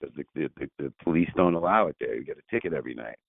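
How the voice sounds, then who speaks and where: A man's voice: rumbling low, animated, racing, gravelly, no echo. A man in his sixties, a property owner talking casually on a call, rambling and restarting his sentences, laughing easily. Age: 60s. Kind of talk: casual phone conversation. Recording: tinny phone line.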